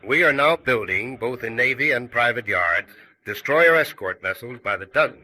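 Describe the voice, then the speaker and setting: An actor playing a firm, confident Navy Secretary delivering a formal wartime address. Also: male voice, medium pitch, animated, moderate pace, bright, forceful tone, dry recording. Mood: resolute. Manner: firm, declarative.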